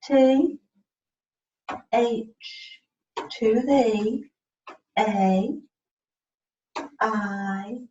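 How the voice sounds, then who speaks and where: Slow-paced woman tutor in an echoey room. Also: fairly high pitch, mellow. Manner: repeats words deliberately and spells out letters one at a time. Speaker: communication support partner helping a learner spell out words. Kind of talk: one-to-one lesson, coaxing and prompting. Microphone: video call, away from the microphone. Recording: echoey room, muffled distant mic, faint noise.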